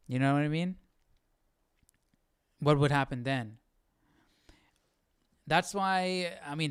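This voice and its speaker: A man's voice: on the high side, clean tone, no echo, studio quality. A man hosting a livestream Bible study, chatting casually and thinking aloud with lots of questions. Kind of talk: casual, musing chat.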